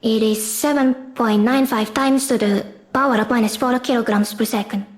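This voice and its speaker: A woman with a fairly high voice narrates a technical lesson from a script. She is formal and methodical, setting out each step in order like a student presenting a project. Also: fairly even intonation, moderate pace. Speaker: presenter of an engineering class project.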